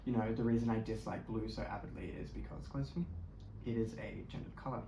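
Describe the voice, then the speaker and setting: A fast-talking host with a notably flat tone of voice, chatting casually and thinking aloud. The recording is made in an echoey room with a faint hiss underneath. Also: mid-range voice. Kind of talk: casual chat. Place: indoor room during a makeup session.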